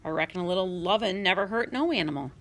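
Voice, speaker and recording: A woman voicing a gentle mountain trapper who talks in a folksy, hesitant way. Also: on the low side, expressive, quick pace. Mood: soothing. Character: gentle rough-spoken mountain trapper man.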